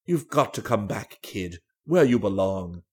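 An old vaudeville man, acted out by a male storyteller, speaks low and huskily. He is blunt but sentimental, stretching his words with feeling, and swings widely in pitch. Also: animated, a little slow, darkish tone. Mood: pleading, tender. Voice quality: husky.

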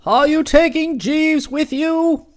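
A man voicing a booming, imperious older aunt who blusters and scolds. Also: takes it slowly. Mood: warning.

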